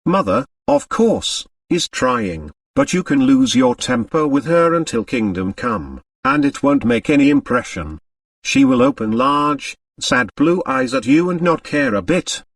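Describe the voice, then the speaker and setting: A man takes the part of a young upper-class woman in a mystery audiobook. She is wry and detached, with a slow drawl that swoops up and down. The sound is dry. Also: medium pitch, big pitch swings, gravelly, no echo, recorded from a distance, exaggerated voice.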